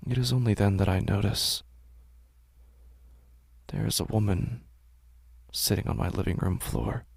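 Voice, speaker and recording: Male horror narrator, deep and husky, slow and hushed, close dry studio sound. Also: dry recording. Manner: hushed, slow, often whispered. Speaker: narrator and host.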